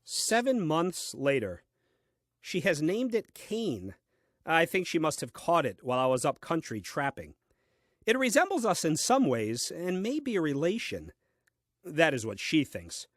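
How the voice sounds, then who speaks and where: An actor playing a literal-minded, puzzled and grumbling Adam in a comic diary-style audio play, with a deliberate, dryly pedantic delivery. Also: male voice, fairly high pitch, wildly swooping pitch, no echo, heavily acted. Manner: deliberate, theatrical, dry and pedantic.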